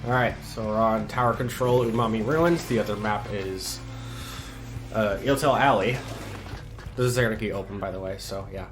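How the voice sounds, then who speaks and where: A man's voice: on the low side, normal speed, over music. A man hosting a gaming channel, chatting casually over gameplay while stuffy from a cold, laid-back and hesitant with lots of ums and restarts.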